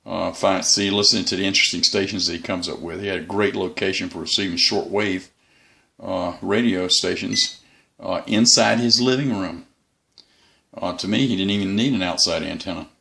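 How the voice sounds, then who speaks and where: A man giving a casual, unscripted hobby review as the channel's host, in a halting, rambling way. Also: sits low, fairly even intonation, gravelly, bright, forceful tone.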